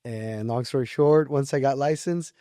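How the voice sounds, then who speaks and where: A man's voice: medium pitch, moderate pace, warm tone, dry recording, studio quality. A man in his early twenties talking casually as an interview guest, polite and informal as he explains his approach. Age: early 20s. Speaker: podcast guest, real estate agent.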